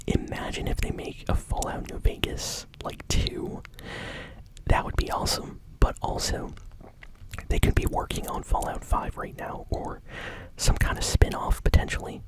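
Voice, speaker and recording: An ASMR host shares gaming news entirely in a soft whisper, with no voiced tone. The phrasing is informal and a little hesitant, yet keen and enthusiastic. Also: moderate pace, poor audio. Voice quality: soft unvoiced whisper throughout.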